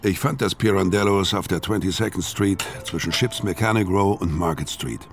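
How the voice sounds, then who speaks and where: A man's voice: sits low, moderate pace. An actor playing a grim, laconic hitman who narrates a noir radio drama in a terse, matter-of-fact way. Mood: detached. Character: grim, laconic tall male hitman narrating his crimes.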